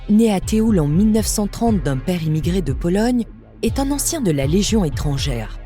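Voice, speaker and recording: A woman's voice: medium pitch, dark tone. A woman reading a scripted true-crime story as a podcast narrator. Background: music.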